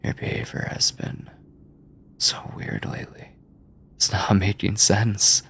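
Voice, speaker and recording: A man playing an obsessive, controlling mad scientist in an ASMR horror roleplay, speaking deliberately with a breathy, clinical yet doting manner, often near a whisper. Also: on the low side, lively intonation, a bit bright, a little hiss or hum, heavily acted. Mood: puzzled, suspicious. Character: obsessive yandere mad scientist, soft-spoken, controlling male captor.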